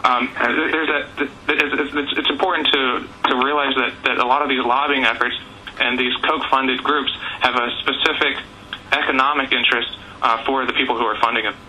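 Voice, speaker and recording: A man's voice: medium pitch, steady tone, normal speed, pushed and bright. A man arguing insistently and choppily as a panelist answering a question on a press teleconference. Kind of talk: argumentative press call answer. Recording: noisy telephone conference line.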